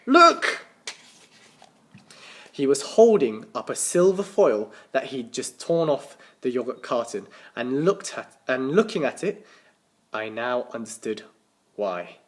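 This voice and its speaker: A man reading a children's story aloud as a teacher, with animated, dramatic emphasis and the odd stumble over words. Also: mid-range voice. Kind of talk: expressive children's storybook narration.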